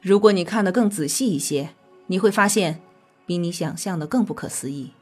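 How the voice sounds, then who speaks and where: A woman scientist in a science-fiction audio drama, her voice slightly low. She explains with measured, patient authority and composure while quiet music plays behind her. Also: slightly rough. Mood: instructive. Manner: measured, instructive. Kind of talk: scripted audio drama dialogue.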